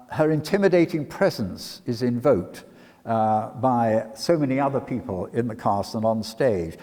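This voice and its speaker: An older man delivers a literary lecture at a middling pitch. He is hesitant, repeating words and then landing on polished phrases. His voice carries a long church reverb. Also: warm tone. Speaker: lecturer and dramatic reader. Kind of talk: public literary talk with read-out quotations. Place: talk in a church before an audience.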